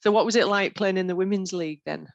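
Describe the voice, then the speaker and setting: A woman's voice: medium pitch, fast, vocal fry, no echo, highs cut off. Enthusiastic woman interviewer, dry sound. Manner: enthusiastic, encouraging. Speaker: interviewer, host. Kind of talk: casual interview.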